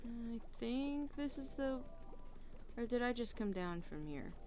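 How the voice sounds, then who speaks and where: A hoarse young woman streaming a game, muttering to herself and swearing casually into a close mic. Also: fairly high pitch, big pitch swings, unhurried, a little mellow, faint music behind. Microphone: new close mic.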